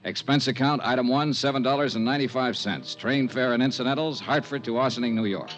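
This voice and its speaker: An actor playing a worldly insurance investigator in a 1950s radio drama, clipped and matter-of-fact. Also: male voice, mid-range voice, quick pace, dull audio, faint music behind, close to an everyday voice. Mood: brisk, official. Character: brisk, worldly male insurance investigator, coolly sympathetic. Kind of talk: 1950s radio drama dialogue and scripted narration. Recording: vintage 1950s radio recording.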